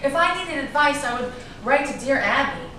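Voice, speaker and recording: A woman's voice: high-pitched, animated, normal speed, pushed and bright. A woman playing a defiant, guarded actress in a staged drama reading, with a sarcastic, cutting delivery. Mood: suspicious, curt. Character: defiant, guarded actress in love with a suspected man. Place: echoey room at a staged reading. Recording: echoey room, distant mic, noisy background.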